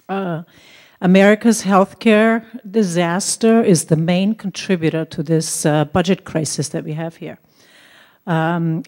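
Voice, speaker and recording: A woman's voice: fairly low pitch, dark tone. A woman from Austria with an Austrian German accent, giving an earnest, increasingly indignant public comment as a resident at a hearing.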